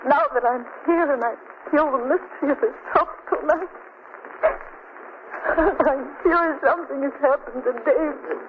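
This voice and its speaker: A woman playing an anxious, distraught young woman in old radio melodrama, with tremulous, dramatic pauses. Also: high-pitched, natural voice. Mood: fearful. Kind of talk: 1940s radio melodrama acting. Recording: tinny, noisy old radio recording.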